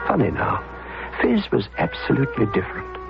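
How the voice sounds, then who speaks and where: An actor playing a wry, breezy, rebellious upper-class son who narrates and banters in a period radio drama. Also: male voice, medium pitch, wildly swooping pitch, fairly quick, gravelly. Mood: reflective, wry. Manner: wry, playful, wordy banter. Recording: dull old radio recording with music.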